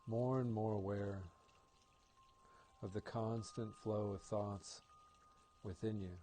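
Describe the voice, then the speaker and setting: A man leading a guided meditation as an instructor, speaking in a calm, gentle and soothing manner. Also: fairly low pitch, takes it slowly, a little hiss or hum.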